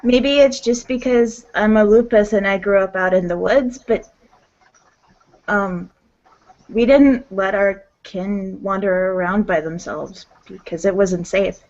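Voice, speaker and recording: A woman's voice: mid-range voice, measured pace, darkish tone. A woman speaking casually in character in a tabletop roleplaying game, polite and a little hesitant. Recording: dull, lo-fi audio.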